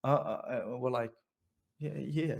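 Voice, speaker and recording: A middle-aged man with a Vietnamese accent recalls his past in an oral history interview. His voice sits at an ordinary pitch for a man. He rambles, restarts his sentences and fills his pauses with "uh". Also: steady tone, creaky. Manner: rambling storytelling with many restarts and fillers.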